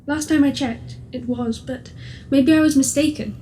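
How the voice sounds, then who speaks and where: A woman acting an odd, excitable character who is earnest about absurdities in a surreal radio play. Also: high-pitched, moderate pace, a little hiss or hum, lightly acted. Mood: airy.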